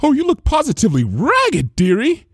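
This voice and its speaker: A man's voice: very high voice, huge pitch swings, moderate pace, no room sound, clean studio sound, pitched above the performer's own voice, full character voice. A man voicing a fussy, kindly female pony baker with a fluttery, folksy and hesitant manner in a read-aloud story. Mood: flustered, fussing.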